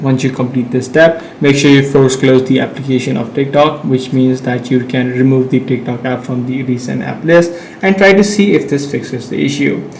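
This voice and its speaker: A man with a medium-pitched, gravelly voice narrates a how-to guide. He explains things step by step like a tutorial presenter. The recording is roomy and echoey, with faint noise behind him. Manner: instructional, step-by-step.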